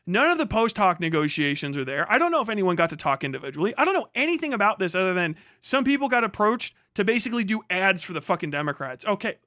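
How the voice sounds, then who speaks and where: A man's voice: high-pitched, very animated, quick pace, hardly any pauses, bright, forceful tone. A man livestreaming an unscripted reaction rant, swearing often and repeating his points with indignant emphasis. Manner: profane, indignant, emphatic, repetitive. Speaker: livestream commentator.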